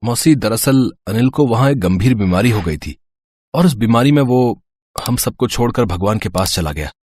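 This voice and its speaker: A man voicing a gentle young family friend who brings sad news softly. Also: lowish voice, lively intonation, moderate pace, no echo. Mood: somber.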